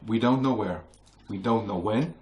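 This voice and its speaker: A Dutch-accented man speaks in a lowish voice, recounting a story to a gathered audience in a museum. He fills his pauses with hesitations and restarts while reaching for English words. A faint background hiss sits under the recording. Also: dull audio. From Netherlands. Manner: hesitant, searching for English words, many fillers. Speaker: author giving a book talk. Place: museum hall with a live audience.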